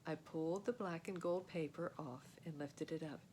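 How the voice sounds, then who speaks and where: A woman reading a children's story aloud in an expressive scripted narration. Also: low-pitched, moderate pace, a little hiss or hum. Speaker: storybook reader.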